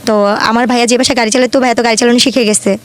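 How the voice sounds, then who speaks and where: A woman's voice: a little high, fairly even intonation, rapid-fire. A woman in her twenties from Dhaka with a Bangladeshi accent, telling her life story in an earnest, colloquial way as an interview guest. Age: 20s.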